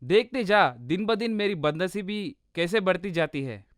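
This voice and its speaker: A luckless, complaining village man from a comic storybook, played by a male actor. His voice is high, smooth and clear, in a very dry recording. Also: expressive, measured pace, no room sound. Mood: self-pitying. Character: self-pitying unlucky village man and his easygoing friend.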